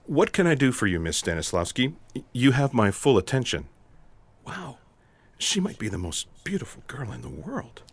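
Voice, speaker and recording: An actor playing an earnest, eccentric intelligence agent in sci-fi audio theatre, speaking in a deliberate, formal, deadpan way. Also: male voice, medium pitch, gravelly, breathy edge, full character voice. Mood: smitten, private aside.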